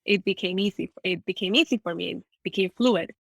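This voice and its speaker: A woman's voice: lowish voice, a little fast, vocal fry, dry recording. A woman from Ecuador with an American accent and Spanish as her first language, telling personal stories spontaneously as an interview guest. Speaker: podcast guest. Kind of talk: conversational storytelling in an interview.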